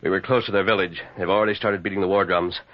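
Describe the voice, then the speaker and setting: An actor playing a steady, earnest, authoritative cowboy hero in an old-time radio western. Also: male voice, low voice, fast talker, lightly acted. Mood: grave, commanding. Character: steady, commanding heroic masked cowboy lawman. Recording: muffled, noisy old radio recording.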